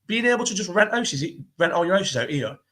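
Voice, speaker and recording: A man, chatting casually as host, blunt and full of swearing, firing off quick questions. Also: high voice, very animated, sharp tone. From England. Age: 30s. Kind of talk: casual chat.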